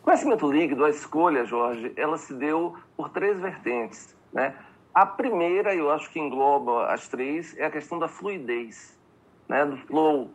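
A man's voice: on the high side, measured pace, slightly rough, rough recording. A man from Bahia, Brazil, answering interview questions as an expert guest, eager and explanatory, often checking in with tag questions.

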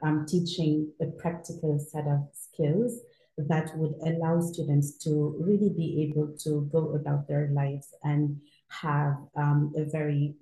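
A woman from Senegal answers interview questions in a low, very mellow voice. She explains things in a measured, steady way, pausing on fillers, and her voice echoes in the room. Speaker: university outreach director, guest.

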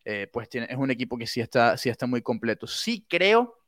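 A man on a sports panel giving a measured, polite analysis of a team. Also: medium pitch, big pitch swings, fairly bright tone, dry recording, clean studio sound. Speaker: baseball analyst.

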